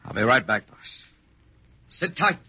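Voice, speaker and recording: A loyal, fierce young-hearted lieutenant with a very forceful, sharp voice and lively swings of pitch. The old radio drama recording is dull and faintly noisy. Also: male voice, a little slow, husky, dry recording, full character voice. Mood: reluctant, loyal. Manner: intense, dramatic. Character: loyal, fierce male lieutenant to his boss. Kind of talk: 1940s radio acting.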